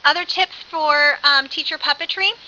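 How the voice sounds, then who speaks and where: A woman teaching an early childhood course in a conversational, animated way, as an instructor explaining with examples. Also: sits high, normal speed. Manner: animated, with acted-out example phrases. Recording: dull lo-fi audio with faint hiss.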